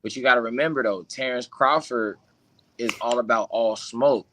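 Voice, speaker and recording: A man co-hosting a casual boxing talk show, giving blunt, opinionated takes in relaxed slang. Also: moderate pace, a little hiss or hum, rough recording. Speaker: co-host guest. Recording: lower-quality dry mic.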